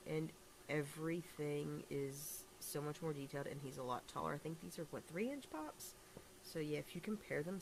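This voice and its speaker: A woman with a deep, gravelly voice, still raspy from a recent cold. She chats off camera at a home table, rambling with eager restarts and fillers. A faint hiss sits under the recording. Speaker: toy collector vlogger. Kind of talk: casual toy review to camera. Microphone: camera filming hands and toys, speaker off screen.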